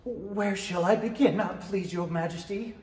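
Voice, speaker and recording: A man's voice: sits high, moderate pace, a little mellow, natural voice. A middle-aged man playing a nervous, deferential rabbit herald in a 1930s-style radio play, hesitant and stammering. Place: indoor stage in a small theatre. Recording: roomy, echoing stage pickup.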